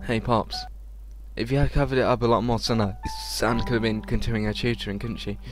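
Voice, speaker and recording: A man hosting a let's play, reading the game's script aloud haltingly with occasional asides. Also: medium pitch, fairly even intonation. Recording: game music under the voice. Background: music.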